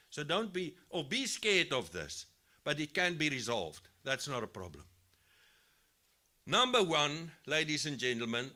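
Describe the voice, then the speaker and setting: A man with a South African accent giving a live expo lesson on livestock health to farmers, explaining step by step and often restarting his phrases. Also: medium pitch. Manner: says he has a loud voice. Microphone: handheld mic, later speaks without it.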